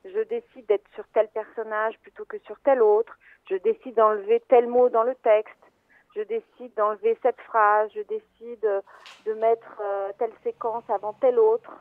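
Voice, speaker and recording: A woman's voice: fairly high pitch, level tone, a little slow, dry recording. A woman, a film editor being interviewed, explaining her craft in a hesitant, thoughtful way with many fillers and restarts, heard over a phone line.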